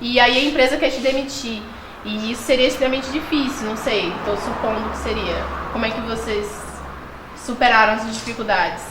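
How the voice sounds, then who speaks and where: A woman interviewer asking careful, measured questions. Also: on the high side, echoey room, heavy background noise.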